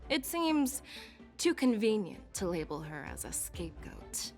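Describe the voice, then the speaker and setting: Game general lady, shrewd and formal, pointed measured questioning, soft music under it. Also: medium pitch, sing-song. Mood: skeptical. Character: shrewd, probing female general conducting an interrogation. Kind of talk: dubbed video game dialogue acting. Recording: music behind the voice.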